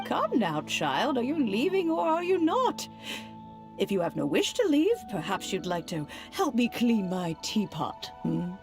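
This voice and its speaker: An actress playing a gentle elderly immortal granny in a video game, speaking in old-fashioned, unhurried phrases with fond chiding. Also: female voice, fairly high pitch, huge pitch swings, music in the background, heavily acted. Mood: fondly chiding.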